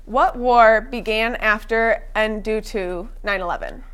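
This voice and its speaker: A young woman with a slightly high voice hosts a student-made quiz show in a college TV studio. She talks in an upbeat way but stumbles now and then, with ums, uhs and restarts as she explains the rules. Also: unhurried, a bit bright, clear, resonant tone, soft background music. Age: young adult. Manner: upbeat, with frequent fillers and restarts. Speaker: game show host.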